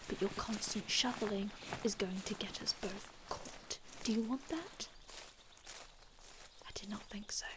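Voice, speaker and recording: A woman playing an aloof, formal male vampire healer from another time in an ASMR roleplay, speaking in a measured, haughty, hushed manner with archaic phrasing. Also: mid-range voice, close to an everyday voice. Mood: hushed, urgent. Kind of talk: ASMR roleplay, scripted in-character monologue.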